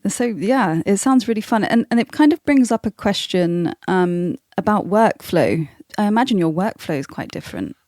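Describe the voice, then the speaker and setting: A woman's voice: medium pitch, animated, moderate pace, few pauses, darkish tone, no room sound, clean studio sound. A woman hosting a podcast, asking questions in a casual, conversational way. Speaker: podcast host, interviewer.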